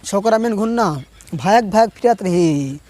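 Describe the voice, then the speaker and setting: Hoarse Chhattisgarhi man, high voice. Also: expressive, unhurried, mellow, a little hiss or hum. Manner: chatty, laughs often between lines. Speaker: stand-up comedian and channel host. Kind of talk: casual stand-up comedy monologue.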